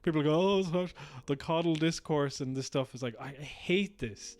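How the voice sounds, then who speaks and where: A man's voice: on the high side, normal speed, a little mellow. An Irish man from Galway co-hosting a podcast, ranting in a sweary, exasperated way. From Galway, Ireland. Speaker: podcast co-host. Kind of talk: casual chat.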